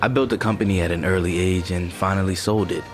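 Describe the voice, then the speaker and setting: A man's voice: sits low, fairly even intonation, fast, faint music behind, lightly acted. An actor playing a mild, reassuring male partner with a slightly hesitant manner in a naturalistic audio drama. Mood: bashful. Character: gentle, supportive male partner, bashful aspiring writer.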